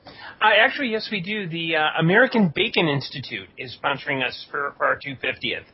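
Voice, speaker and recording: A man co-hosting a podcast with casual banter, halting and repeating words as he goes. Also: lively intonation. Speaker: podcast co-host. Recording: dull lo-fi audio with rumbling noise.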